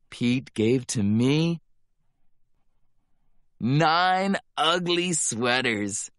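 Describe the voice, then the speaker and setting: A man reading a rhyming children's picture book aloud as narrator, with a playful, drawn-out delivery. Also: mid-range voice, no room sound, studio quality. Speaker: storybook narrator.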